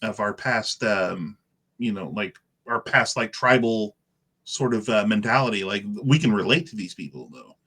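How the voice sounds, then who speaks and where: A man chatting casually as a podcast co-host, loose and halting, peppering his talk with "like" and "you know". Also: on the low side, expressive, pushed and bright, husky. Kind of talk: casual chat.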